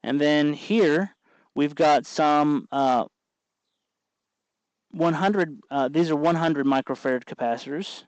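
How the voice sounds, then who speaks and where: A man hosting a casual hands-on tutorial, explaining in a halting way as he works. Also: a little high, no room sound, muffled recording. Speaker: host of a DIY electronics tutorial.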